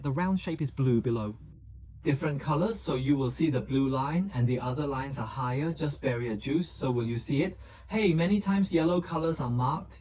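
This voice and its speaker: Mellow-voiced man explaining diamond prices. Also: mid-range voice, breathy edge. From Hong Kong. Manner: chatty, asks the viewer questions. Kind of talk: explaining price charts to camera.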